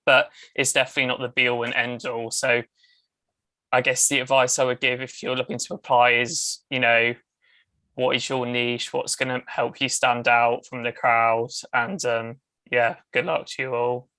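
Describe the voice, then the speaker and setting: Young man, bright crisp flat voice. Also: mid-range voice, moderate pace, no room sound, poor audio. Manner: casual, heavy use of "like" and "um". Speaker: alumnus of a documentary training scheme. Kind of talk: casual panel chat.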